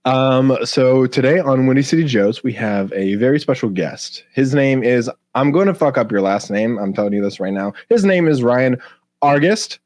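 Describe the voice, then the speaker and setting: A man's voice: medium pitch, expressive, moderate pace, darkish tone. A man with an American Midwestern accent hosting a podcast in an informal, chatty way, swearing freely. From Illinois, US. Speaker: podcast host. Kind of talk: casual podcast chat. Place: basement room.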